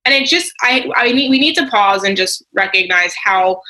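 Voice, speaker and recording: A woman hosts a conversational interview show with a bright, forceful voice of average pitch. She talks informally and emphatically, circling through her points with plenty of casual filler phrases. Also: lively intonation, normal speed, poor audio. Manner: emphatic, informal, frequent "like" and "you know". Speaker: interview podcast host.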